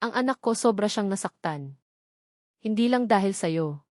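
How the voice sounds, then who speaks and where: A woman's voice: mid-range voice, lively intonation, normal speed, darkish tone, no echo, clean studio sound, close to an everyday voice. A woman voicing a worried, sorrowful older mother in an audio romance drama, anguished and reproachful. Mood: pained, secretive.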